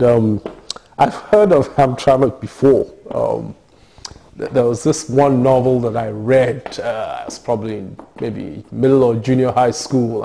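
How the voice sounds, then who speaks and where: Man, middle-aged, dark timbre, hesitant, interview. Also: medium pitch, vocal fry. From Nigeria. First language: Yoruba. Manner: thoughtful, hesitant, many fillers and restarts. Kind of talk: oral history interview.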